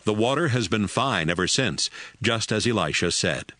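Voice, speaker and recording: A man's voice: fairly low pitch, lively intonation, normal speed, bright, forceful tone, slight background noise. A man giving scripted narration for a dramatized audio Bible.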